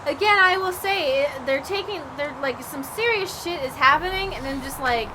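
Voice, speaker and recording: A woman's voice: high-pitched, lively intonation, normal speed, background noise. A young woman giving sarcastic, laughing commentary while playing a game with a friend. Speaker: let's play host. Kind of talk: casual gameplay commentary.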